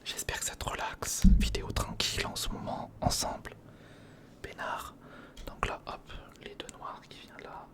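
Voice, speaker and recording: A man whispering informal ASMR commentary close to the microphone, murmuring step by step to himself and the viewer as he works. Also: moderate pace. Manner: murmured, informal running self-talk addressed to the viewer. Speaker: ASMR video creator. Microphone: close recorder microphone.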